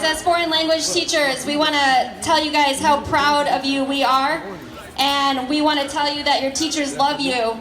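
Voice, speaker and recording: A woman teacher giving warm, encouraging words to her students at an outdoor protest. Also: high-pitched. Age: adult. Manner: warm, motherly, addresses the students directly. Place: outdoor school protest crowd. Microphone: phone camera in the crowd. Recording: echoey phone recording with a crowd.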